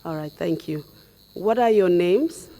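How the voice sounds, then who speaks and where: A young woman with a hoarse voice, questioning a witness formally and politely as commission counsel through a headset mic in a studio. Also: lowish voice. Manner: measured, polite, flat delivery.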